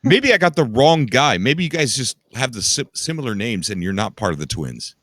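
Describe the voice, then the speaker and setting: A man's voice: medium pitch, very animated, moderate pace, fairly bright tone, no room sound. An American man hosting a casual live group chat in a bantering, conversational way. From Ohio and Florida, United States. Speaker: stream host.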